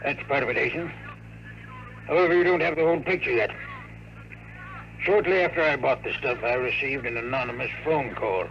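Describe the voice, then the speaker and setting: Casting: male actor for ailing old American big-city boss, creaky hushed hoarseness, weary and grave, old radio with faint noise. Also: mid-range voice, fairly quick, long pauses, natural voice. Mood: businesslike, urgent. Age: older. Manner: confiding, grave, throat-clearing. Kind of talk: 1950s radio drama acting. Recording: faintly noisy vintage radio recording.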